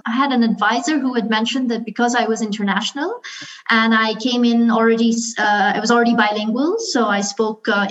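A woman, talking thoughtfully on a panel with many pauses and fillers. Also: fairly high pitch, dull audio. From Pakistan. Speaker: alumna panelist. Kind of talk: casual panel talk.

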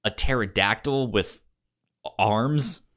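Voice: mid-range voice, takes it slowly, fairly bright tone. A podcast co-host chatting casually about comics, with a stammer that often repeats sounds and words.

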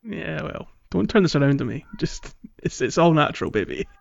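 A man co-hosting a podcast, chatting casually about a video game in a rambling, halting, jokey way. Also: fairly high pitch, big pitch swings, creaky. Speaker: podcast co-host. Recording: dull lo-fi audio, roomy echo.

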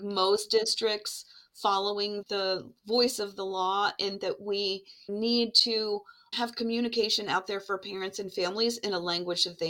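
Bright-voiced woman telling teaching stories. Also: medium pitch, poor audio. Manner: reflective, earnest, anecdotal. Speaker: guest, English learner program administrator. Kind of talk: conversational podcast storytelling.

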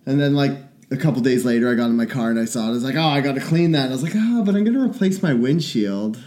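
A man, a little sick and raspy, co-hosting a podcast and telling rambling, self-mocking stories. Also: medium pitch, expressive, racing, dark tone. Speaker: podcast co-host. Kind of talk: casual podcast chat.

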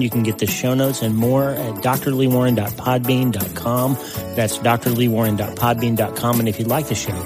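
A man delivering a scripted podcast introduction as its host, speaking directly to the listener. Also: mid-range voice. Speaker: podcast host, neurosurgeon and author. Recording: clear music bed under voice. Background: music.

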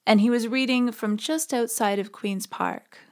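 A woman's voice: a little high, fairly even intonation, measured pace. A woman podcast host giving conversational religious commentary in an informal, explanatory way.

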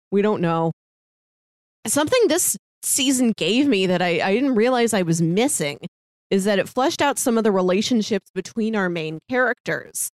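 A woman co-hosting a podcast, talking casually and enthusiastically in an animated, chatty way. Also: medium pitch, no room sound, clean studio sound. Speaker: podcast co-host. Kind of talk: casual discussion of a TV drama.

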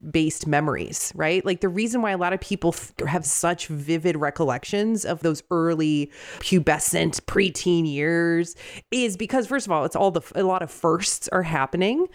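A woman hosting a casual conversation, animated and quick, laughing between her thoughts. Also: lowish voice. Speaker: podcast host. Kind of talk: casual chat.

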